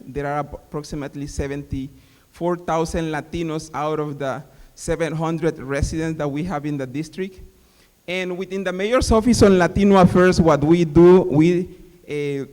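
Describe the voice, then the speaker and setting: A man's voice: on the high side, a little mellow. A man from Honduras, giving a hesitant panel talk as a city official, with many fillers. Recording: reverberant hall.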